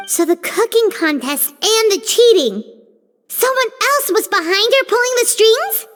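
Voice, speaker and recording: A woman voicing a tiny, chatty, excitable female companion creature in a video game, talking about herself by name. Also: unhurried. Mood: puzzled. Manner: squeaky, exclamatory, talks about herself by name. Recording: game audio with faint music underneath.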